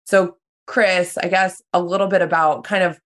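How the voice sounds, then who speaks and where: A woman's voice: lowish voice, fairly even intonation, normal speed, a bit bright. A woman co-hosting a podcast in a casual, upbeat way, her voice a little worn. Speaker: podcast co-host.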